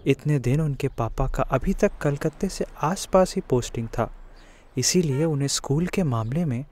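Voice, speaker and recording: Mellow male storyteller, slightly high voice. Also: moderate pace. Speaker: narrator. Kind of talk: scripted children's story narration.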